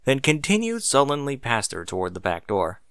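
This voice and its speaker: A man reading scripted fiction as an audiobook narrator, with expressive storytelling. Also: medium pitch, normal speed, clean tone, no echo, clean studio sound.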